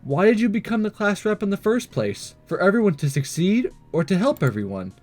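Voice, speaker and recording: Man reading as a supportive, lively teenage student. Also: high voice, a little fast, soft background music. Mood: reassuring, puzzled. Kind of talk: visual novel dialogue read aloud.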